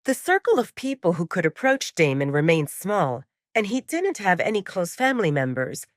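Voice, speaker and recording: A woman narrating a scripted dramatic story, with measured, clearly phrased storytelling. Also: lowish voice, sing-song, no room sound, clean studio sound. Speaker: audiobook narrator.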